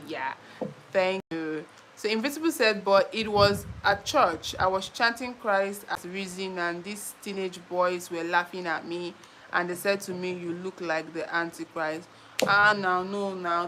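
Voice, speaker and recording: A woman's voice: lowish voice, normal speed, bright, forceful tone, slight background noise, poor audio. A young Nigerian woman with a Nigerian accent hosts a casual live stream, chatting playfully, reading viewer comments and laughing often.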